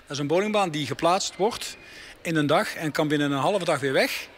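A man with a fairly high voice explains his business plainly and earnestly to a radio reporter. He speaks into a handheld mic inside a bowling alley. The on-location recording carries clear background noise from the hall. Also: fairly quick, fairly bright tone. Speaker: bowling lane entrepreneur. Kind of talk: radio interview answers. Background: bowling alley ambience.

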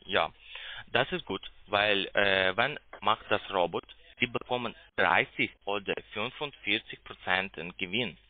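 A man with a foreign accent, not a native speaker, making a halting sales call and searching for words in broken phrasing. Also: lowish voice, dry recording. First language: not German. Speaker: phone sales agent pitching investments. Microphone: telephone. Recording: tinny, muffled phone line.

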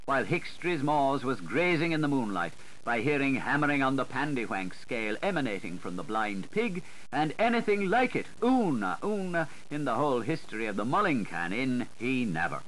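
Guy doing a theatrical audiobook read, playful and sing-song, pitch all over the place, bit of noise on an 80s recording. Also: big pitch swings, normal speed, few pauses, a bit bright. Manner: playful, dramatic, sing-song delivery with shifting inflection. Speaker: audiobook narrator. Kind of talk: theatrical literary audiobook reading. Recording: noisy 1980s audiobook recording.

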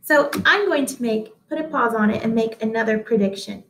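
A woman in her thirties reading a picture book aloud to children, deliberate and expressive, pausing to address listeners at home. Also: on the high side, slight background noise. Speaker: read-aloud host, teacher. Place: small indoor office-like room. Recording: echoey small room.

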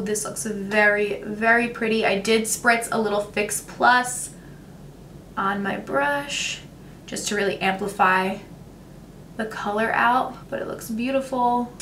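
A young woman, talking through a makeup tutorial in a chatty, gushing way, her voice a little raspy today. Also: medium pitch, fairly bright tone, roomy sound, distant mic, a little hiss or hum. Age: young adult. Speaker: beauty vlogger. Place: bright home room with makeup shelves. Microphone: camera at close framing.

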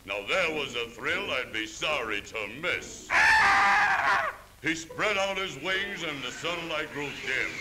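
Pompous, booming cartoon sailor villain, sing-song. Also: male voice, expressive, takes it slowly, heavy background noise. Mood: boastful, swaggering. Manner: pompous, theatrical. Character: huge boastful swaggering bearded sailor villain. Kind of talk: 1930s cartoon acting, rhymed sing-song boasting. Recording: old 1930s film soundtrack, loud music behind. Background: loud orchestral music.

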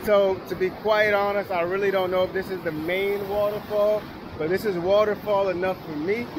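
A man's voice: sits high, fairly even intonation, moderate pace. A young man talking casually to camera outdoors in a colloquial, easygoing way, as a travel vlogger. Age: young adult. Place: outdoors by a roadside and river waterfall. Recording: outdoor camera audio with ambient noise.

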